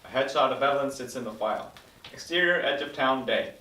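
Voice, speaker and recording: A man's voice: medium pitch, fairly quick, bright, forceful tone, slight background noise. A man reading stage directions as the narrator of a scripted table read. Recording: roomy echo, distant mic.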